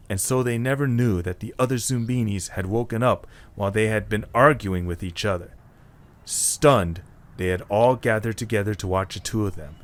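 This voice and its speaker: A man reading scripted narration as the narrator of a dramatic story. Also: on the low side.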